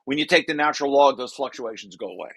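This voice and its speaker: A man's voice: mid-range voice, expressive, fast talker, fairly bright tone, slightly rough. A man presenting a research paper draft informally to colleagues, repeating and rephrasing key phrases as he explains.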